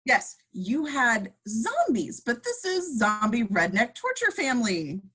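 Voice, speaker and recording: A wry, jaded control-room technician, read by a woman at a screenplay table read. The voice is fairly high and husky, with animated swings in pitch. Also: unhurried, heavily acted. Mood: dismissive.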